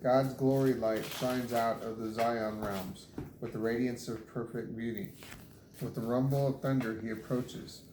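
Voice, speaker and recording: A man's voice: mid-range voice, steady tone, a little slow. A man reading scripture aloud. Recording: echoey room, faint background noise.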